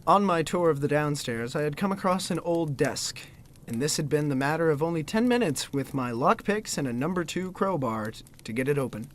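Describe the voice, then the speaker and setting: An actor playing an impertinent, bumbling young gentleman, narrating a gothic parody audio drama in formal, wordy style. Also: fairly high pitch, lively intonation, brisk, a little hiss or hum, natural voice. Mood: earnest storytelling.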